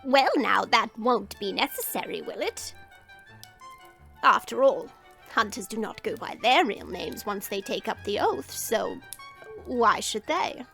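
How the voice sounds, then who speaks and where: A woman acting a wise, composed old grandmother in a fantasy audio drama, speaking formally and with gentle firmness. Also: mid-range voice, lively intonation, vocal fry, faint music behind, exaggerated voice. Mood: gently firm. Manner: measured, formal, gently firm.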